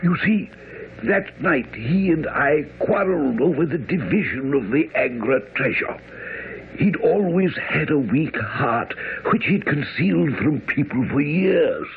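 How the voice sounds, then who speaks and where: An actor playing a dying, guilt-ridden old army major in a radio drama, weak and short of breath. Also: male voice, on the high side, animated, a little slow, creaky. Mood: gasping, urgent. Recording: narrow, noisy old radio broadcast.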